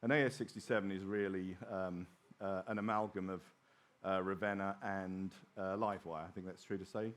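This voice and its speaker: A middle-aged man speaks as a presenter at a technical conference. His voice sits a little low. He explains things informally, slipping in wry asides. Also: steady tone, moderate pace. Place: small open presentation theatre at a trade show.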